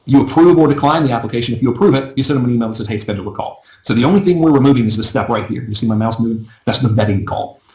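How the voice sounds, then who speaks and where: Brief: man running a live webinar, rapid chatty delivery, slightly hoarse, echoey room. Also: mid-range voice, a little mellow. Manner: fast, chatty, self-deprecating, often asks "right?". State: losing his voice. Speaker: business coach teaching a live webinar. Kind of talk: casual live webinar teaching.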